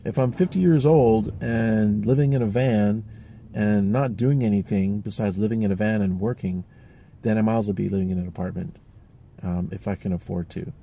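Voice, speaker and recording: Man, 40s, dark mellow gravelly tone, rambling reflective vlog delivery from a van, faint noise. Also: on the low side, moderate pace. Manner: rambling, thoughtful, frequent fillers and restarts. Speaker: vlogger. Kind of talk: casual reflective monologue.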